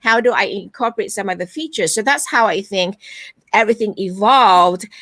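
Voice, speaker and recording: A woman's voice: medium pitch, normal speed, no room sound. A middle-aged woman from Malaysia, talking animatedly as a guest on a video call interview and often tagging her points with "right?". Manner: animated storyteller, frequent "right?" tags. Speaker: guest, virtual event producer. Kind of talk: casual interview chat.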